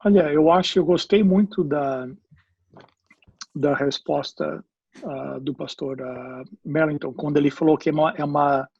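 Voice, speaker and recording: A man with an English accent, a pastor whose first language is English, talks in a panel discussion, hunting for words and dropping in English fillers. His voice sits a little high and comes out husky. The audio is dull and lo-fi, with a dry, close sound. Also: fairly even intonation, moderate pace, a little mellow.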